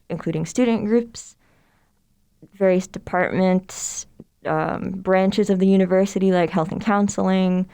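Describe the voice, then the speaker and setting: A woman's voice: on the low side, smooth. A young woman from Somalia, a student club president, talking casually and at length as a podcast guest. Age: young adult. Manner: relaxed, friendly, explains at length with fillers. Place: room with others.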